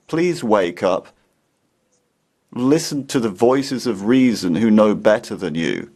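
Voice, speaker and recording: A man's voice: on the low side, a little mellow. A man interpreting a parliamentary speech in a firm, pointed way. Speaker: simultaneous interpreter.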